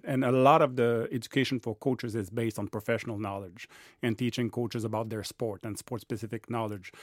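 A man's voice: mid-range voice, no room sound, studio quality. A man speaking as a guest researcher in an interview, hesitant and often repeating words. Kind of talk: interview answers.

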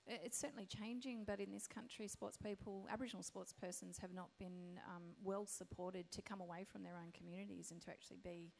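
A woman from Australia moderating a panel discussion, her voice croaky, talking in a thoughtful, halting way with many fillers. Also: mid-range voice, steady tone, a little mellow, slight background noise, poor audio.

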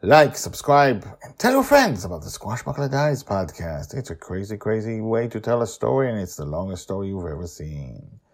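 A man hosting a storytelling podcast, narrating with animated, chatty asides. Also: on the low side, normal speed, few pauses.

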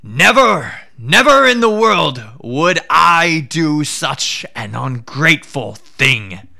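A man doing a wily hungry tiger, slow and bright. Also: fairly high pitch, sing-song, gravelly, exaggerated voice. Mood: pleading. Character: hungry deceitful male tiger.

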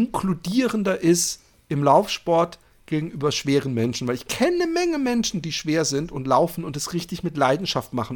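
A man with a voice on the higher side chats casually as a podcast host. He makes his points with emphasis and strong opinions, in loose everyday language with the odd swear word.

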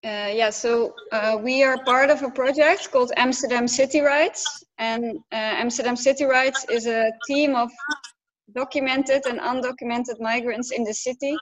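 A woman's voice: on the high side, steady tone, moderate pace, rough recording. A woman, a migrant rights organizer, explaining the situation earnestly and steadily in a radio interview. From Netherlands.

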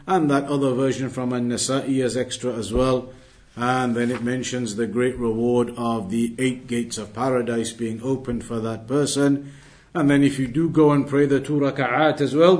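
A man's voice: mid-range voice, a little mellow. A man giving a religious lesson, reading out Arabic texts and explaining them in English, restating key points as he instructs. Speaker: religious teacher leading a weekly class.

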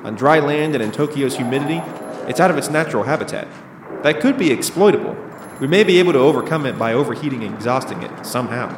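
A man's voice: medium pitch, animated, quick pace, lightly acted. A man playing a level-headed monster scientist in an audio drama, explaining in an assured, analytical way. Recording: echoey, with clear background noise.

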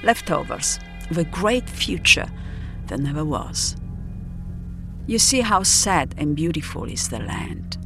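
A woman's voice: low-pitched, lively intonation, slow. An Italian woman with an Italian accent, narrating in a reflective, poetic, scripted style. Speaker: narrator of an audio drama. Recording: music behind the voice. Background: music.

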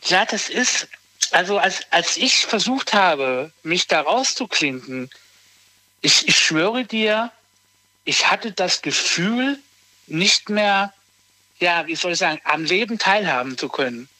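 A man in his thirties talks casually and haltingly, circling back and restarting his thoughts. His voice sits high and sounds bright and forward. The sound is dry, with no room echo. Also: lively intonation. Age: 30s. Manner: hesitant, restarts often, lots of fillers. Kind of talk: casual conversation.

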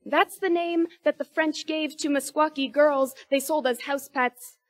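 A woman in her twenties performing spoken word poetry with a rhythmic, emphatic delivery. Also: a little slow. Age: 20s. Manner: rhythmic, emphatic delivery with sharp rises in pitch and force.

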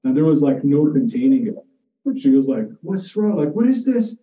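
A man's voice: a little high, very animated, mellow. Muffled, echoey man lecturing informally. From Jordan. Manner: halting, restarts phrases, conversational, explanatory. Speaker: lecturer in psychiatry. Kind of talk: informal spoken lecture with personal anecdotes. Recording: muffled, echoey room, distant mic.

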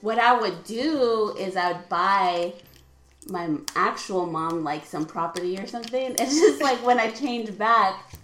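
A woman's voice: medium pitch, unhurried, fairly bright tone, roomy sound, slight background noise. A woman in her late twenties hosting a casual chat with friends, chatty and swearing, laughing often. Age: 20s. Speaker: podcast host.

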